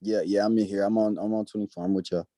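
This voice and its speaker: A man with a deep voice, chatting casually as an actor at an online table read. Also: little pitch movement, fast talker, dark tone. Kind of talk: casual chat. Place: video call.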